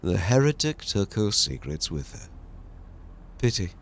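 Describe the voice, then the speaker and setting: Stern middle-aged interrogator, curt and gravelly, low in the chest , a man voicing him. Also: very animated, a little slow, slight background noise. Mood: disdainful. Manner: curt, disapproving.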